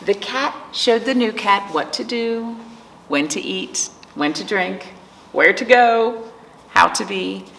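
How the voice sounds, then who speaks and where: A woman librarian hosting a virtual storytime, speaking gently to children, asking them simple questions and reading a picture book aloud. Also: medium pitch, lively intonation, slow pace, creaky, a little hiss or hum, poor audio.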